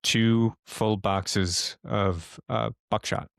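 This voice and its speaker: A man with a lowish voice acts as game master, describing things slowly and haltingly as he thinks them through. The recording is dry and close, with hardly any room to it. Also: fairly even intonation, creaky, a bit bright, clean tone, no echo. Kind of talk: improvised narration of a tabletop horror game.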